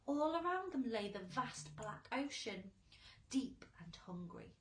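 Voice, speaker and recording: A woman's voice: on the high side, sing-song, unhurried, echoey room, a little hiss or hum. A woman, a teacher, reading a children's storybook aloud with dramatic, emphatic expression.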